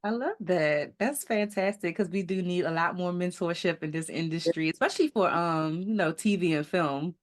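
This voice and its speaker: A woman's voice: fairly low pitch, normal speed, hardly any pauses. A woman hosting a beauty podcast, upbeat and enthusiastic, chatting casually with her guest and laughing often. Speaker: podcast host. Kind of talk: casual interview chat.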